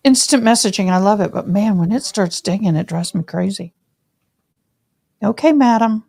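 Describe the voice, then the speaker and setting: Gravelly-voiced chatty woman commentator. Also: mid-range voice, normal speed, warm tone. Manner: chatty, loose asides over the proceedings. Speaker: livestream trial commentator. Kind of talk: casual running commentary.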